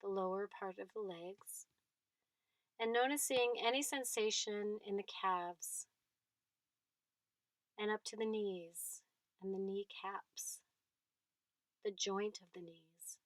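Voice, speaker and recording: Slow, dry-sounding woman guiding meditation. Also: medium pitch, steady tone, no room sound. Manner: unhurried, gentle phrases with long pauses. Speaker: mindfulness meditation guide. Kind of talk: guided body scan meditation.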